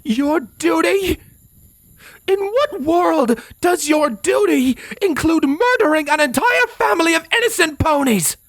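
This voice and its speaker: A man's voice: extremely high pitch, animated, unhurried, fairly bright tone, no room sound, pitched above the performer's own voice, full character voice. A man voicing a furious, defiant young horned mare with growling, indignant demands in a dramatic story reading. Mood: seething outrage.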